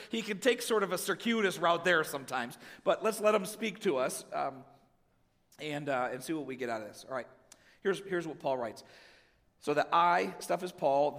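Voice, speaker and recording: A man's voice: fairly high pitch, a bit bright, big-room sound. Man preaching with a head cold. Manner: conversational, asides to the congregation. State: has a cold, slightly raspy. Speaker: pastor. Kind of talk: sermon with scripture reading.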